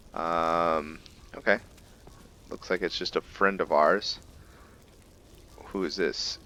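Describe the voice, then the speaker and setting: A man commenting casually while he plays a video game. His voice is low yet bright and forceful in tone. He talks in a hesitant, rambling way, full of fillers. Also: dull audio. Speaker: gameplay narrator. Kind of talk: casual let's-play commentary.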